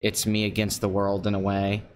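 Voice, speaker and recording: A man in his twenties from Pennsylvania, hosting a live stream alone in an empty apartment and talking low and calm into a microphone with a flat, deadpan delivery. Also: normal speed, a little hiss or hum. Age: 20s. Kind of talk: casual solo live-stream talk. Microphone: desk microphone.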